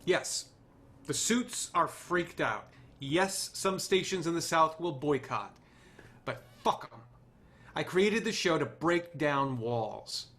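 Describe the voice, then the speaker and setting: A man's voice: on the high side, animated, slow, fairly bright tone, exaggerated voice. A man playing a brash, womanizing 1960s television producer in a casual, cocky way at a script reading. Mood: defiant. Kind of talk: dramatic screenplay table read.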